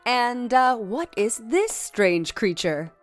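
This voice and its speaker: An over-eager broadcast reporter with a high, animated voice. The delivery is gushing, smarmy and showy, over music in a campy audio play. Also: female voice, slow pace. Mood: gushing, cheerful.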